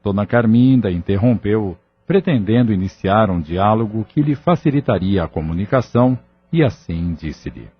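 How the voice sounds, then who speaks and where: Male narrator, Brazilian accent, low breathy voice, dramatic scripted storytelling, slightly muffled. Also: expressive, normal speed.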